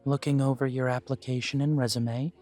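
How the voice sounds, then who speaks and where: A man voicing a polite male elf who owns a coffee shop, interviewing the listener for a job in a calm, formal way. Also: medium pitch, a little fast, faint music behind, natural voice. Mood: courteous. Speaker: shop manager interviewing an applicant. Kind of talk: audio roleplay, talking to the listener.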